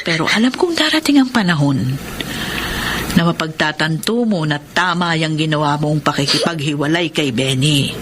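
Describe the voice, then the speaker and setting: A woman's voice: sits low, expressive, normal speed, background noise. An actress playing a caring, firm mother in a radio melodrama, speaking with earnest emphasis as she counsels. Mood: earnest advising. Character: caring, firm mother advising her grown daughter. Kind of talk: Filipino radio melodrama acting. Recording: dramatic music under voice.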